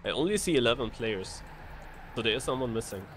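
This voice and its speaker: A man's voice: medium pitch, brisk, a little hiss or hum. A man co-casting a racing game tournament, chiming in with short reactions and laid-back explanations. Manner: short interjections and relaxed explanations. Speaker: co-caster. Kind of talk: live racing game commentary.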